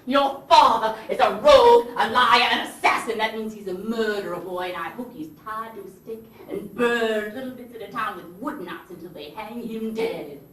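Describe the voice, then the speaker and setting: Woman acting a proud southern first lady in a stage play, highish voice, theatrical and genteel, echoey room far from mic. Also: moderate pace, few pauses, fairly bright tone, lightly acted. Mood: venomous. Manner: theatrical, genteel, emphatic, swinging from anguish to haughty scorn. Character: proud, indignant Southern First Lady of the Confederacy, wounded mother. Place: theatre stage, recorded from a distance. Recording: roomy distant stage recording with hiss.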